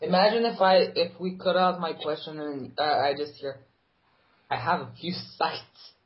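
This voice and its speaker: A man's voice: fairly high pitch, fairly even intonation, normal speed. Teasing young man, muffled lo-fi. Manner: joking, teasing banter. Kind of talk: casual chat among friends. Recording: muffled lo-fi sound.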